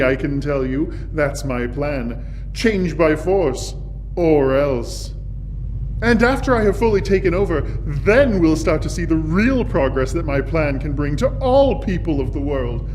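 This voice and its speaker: A high-pitched, grandiose male supervillain, acted by a man holds forth with pompous theatrical flair. He is a gloating comic film-serial schemer, heard over faint noise. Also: darkish tone, poor audio. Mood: righteous, menacing, then scheming, boastful.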